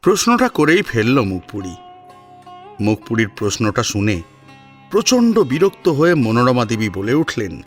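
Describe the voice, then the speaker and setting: A man's voice: medium pitch, big pitch swings, normal speed, faint music behind. A man narrating a scripted family story as a dramatic storyteller.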